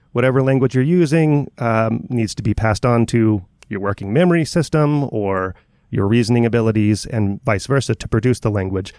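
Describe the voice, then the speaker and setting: A man's voice: mid-range voice, darkish tone, no echo, clean studio sound. A man hosting a conversation, asking long questions and rephrasing them as he goes. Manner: hesitant, rephrases questions mid-sentence. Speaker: host. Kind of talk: interview questions.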